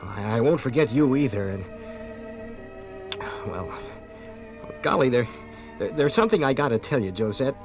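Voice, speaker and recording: A man's voice: mid-range voice, animated, normal speed, pauses often, lightly acted. Man playing an earnest, stammering young soldier, music behind. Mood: nervous, tender. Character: awkward, lovestruck young American soldier heading home. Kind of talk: 1950s radio drama acting. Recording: 1950s radio production from vinyl record.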